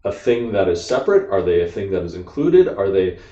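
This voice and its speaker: American male co-host, rambling explainer, lower register, distant mic. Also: very animated, brisk, dark tone. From United States. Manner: explains ideas at length, frequent "like" and "you know". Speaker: podcast co-host. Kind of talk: casual discussion. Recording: dull, echoey room, off-mic.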